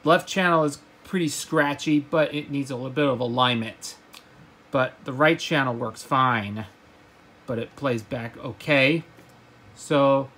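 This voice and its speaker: A man giving a casual gadget demonstration as a hobbyist, halting, often repeating words and stumbling as he goes. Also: mid-range voice, a bit bright. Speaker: hobbyist video presenter.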